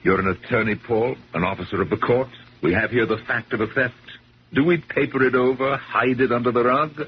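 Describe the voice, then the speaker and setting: An actor playing a rich, self-righteous old collector in a 1970s radio drama, speaking formally and emphatically, with an accusing edge. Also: male voice, mid-range voice, steady tone, fairly quick, lightly acted. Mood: accusing, indignant. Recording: muffled, hissy 1970s radio broadcast.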